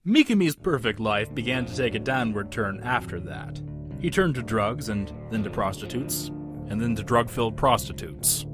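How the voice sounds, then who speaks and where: A man's voice: medium pitch, animated, normal speed, natural voice. A man playing a smug, mocking narrator of a cartoon comedy documentary, grandiose and sardonic. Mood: mocking, menacing. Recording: music behind the voice.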